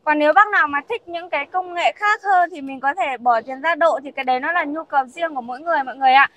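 Bright high-voiced young saleswoman. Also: quick pace. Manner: chatty, repeats herself, addresses viewers as "các bác". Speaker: used car salesperson. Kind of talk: casual showroom sales walkthrough. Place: in a car and a car showroom.

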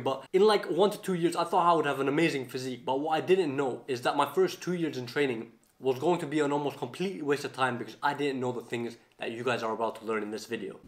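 A man in his twenties giving instructional advice to camera as a fitness coach, recorded in a roomy kitchen. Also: a little high. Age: 20s. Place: home kitchen, indoors.

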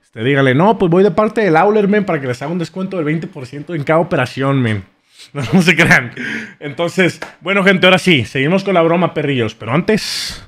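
A man's voice: on the high side, expressive, fairly quick, a bit bright, a little hiss or hum. A young man with a Mexican accent chatting casually in a slangy, stammering way, on a close microphone. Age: young adult. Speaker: prank-call streamer. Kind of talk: casual prank call banter. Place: small streaming room indoors. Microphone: close boom-arm microphone.

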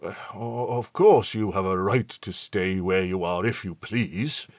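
A man's voice: a little high, very animated, takes it slowly, darkish tone, no room sound, clean studio sound, pitched above the performer's own voice. A man voicing a petulant, grave ship's doctor in an audiobook. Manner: petulant, then grave and ominous. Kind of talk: audiobook character voice.

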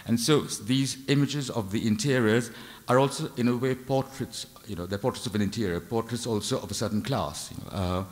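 An older man delivers an art history talk from a lectern mic, his voice very low. He hesitates constantly, restarting phrases and filling gaps with "uh" and "you know". The sound carries a long reverb from a large lecture hall. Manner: hesitant, many fillers and restarts. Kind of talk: unscripted art lecture with slides. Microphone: lectern microphone.

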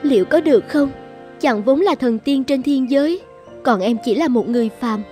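A woman playing a gentle, devoted young princess in an animated fairy tale, soft and earnest. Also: high voice, moderate pace, soft background music. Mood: shy, tender.

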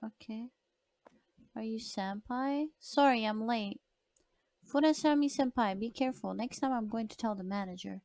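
A woman streamer with a soft voice, chatting casually as she plays a horror game and reads its lines aloud. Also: on the high side, moderate pace, dry recording. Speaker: gaming streamer. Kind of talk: casual let's-play commentary.

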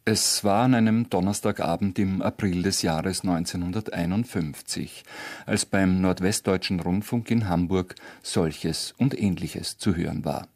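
Guy narrating as radio presenter, very low husky voice, reading a script. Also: unhurried, darkish tone.

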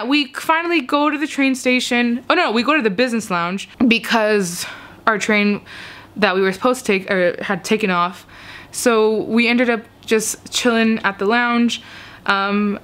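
A woman's voice: fairly high pitch, a bit bright. A woman in her twenties from the United States, chatting to a handheld camera as she tells a travel story with lots of fillers. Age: 20s. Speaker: travel vlogger. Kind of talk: casual vlog storytelling to camera. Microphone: handheld camera held close.

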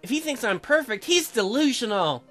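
A man's voice: very high voice, big pitch swings, moderate pace, fairly bright tone, faint music behind. A man doing casual, crude and sarcastic game commentary in a joking way. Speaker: let's player.

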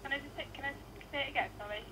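A woman's voice: high-pitched, racing. A woman working as a call centre agent, speaking politely in customer service style on a phone call. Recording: phone on speaker, loud power drill noise. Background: loud electric drill, clicks.

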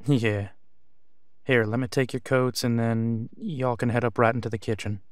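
An actor playing a steady, level-headed detective in an audio drama, matter-of-fact in delivery. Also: male voice, mid-range voice, normal speed, clear, resonant tone, no room sound, studio quality, lightly acted.

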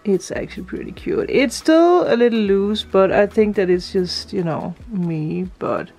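A woman's voice: medium pitch, a little slow, a little mellow, faint music behind. A woman chatting casually in a home renovation vlog, thinking aloud as she fits drawer knobs indoors. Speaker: vlogger. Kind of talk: casual DIY vlog talk.

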